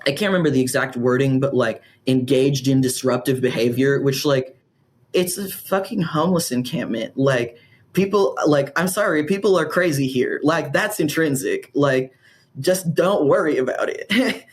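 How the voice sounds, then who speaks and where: A man's voice: mid-range voice, normal speed. A man speaking as a guest in a podcast interview, rambling with many fillers and frequent swearing. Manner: rambling, heavy use of "like", swears freely. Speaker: community organizer guest.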